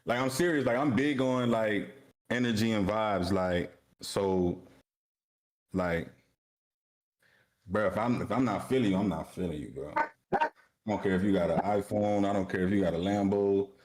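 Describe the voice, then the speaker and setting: A man's voice: fairly low pitch, normal speed, pauses often, a little mellow. A man from South Florida chatting casually on a livestream, slangy, swearing and teasing. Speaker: trading stream co-host.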